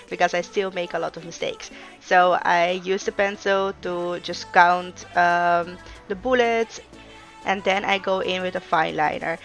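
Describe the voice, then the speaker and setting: A woman's voice: on the low side, gravelly. A young woman whose first language is Russian, chatting casually through a plan-with-me video, with frequent ums and uhs. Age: young adult. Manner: relaxed, chatty, many filler ums and uhs. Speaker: vlogger.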